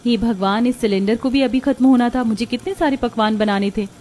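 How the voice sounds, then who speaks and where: A woman's voice: fairly high pitch, brisk, warm tone, close to an everyday voice. A woman voicing a humble, devoted young village daughter-in-law in a cartoon story, respectful, earnest and eager to please. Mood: earnest, dutiful. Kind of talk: Hindi moral cartoon story dialogue.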